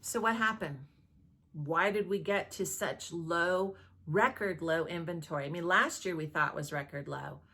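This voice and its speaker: Lower-pitched woman explaining things conversationally. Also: measured pace, sharp tone. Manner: conversational, checks in with rhetorical "right?". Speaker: real estate agent. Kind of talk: explanatory talk to camera.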